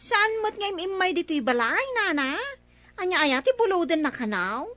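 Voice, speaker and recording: A woman's voice: very high-pitched, sing-song, normal speed, a bit bright, soft background music, exaggerated voice. An actress playing a sassy, blunt village woman in an Ilocano radio comedy drama. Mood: gossipy. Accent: Filipino, Ilocano.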